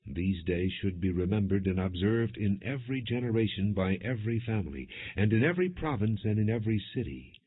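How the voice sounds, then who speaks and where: A man reading scripture as an audiobook narrator, in a measured, formal, non-dramatized style. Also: fairly low pitch, animated, slightly rough. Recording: muffled phone-like lo-fi audio.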